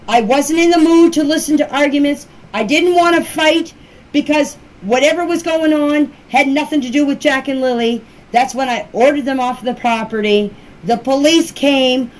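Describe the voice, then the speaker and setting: A woman giving a defensive, insistent personal account in answer to questions, speaking emphatically in an informal voice message. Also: on the high side. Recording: noisy amateur voice-clip recording.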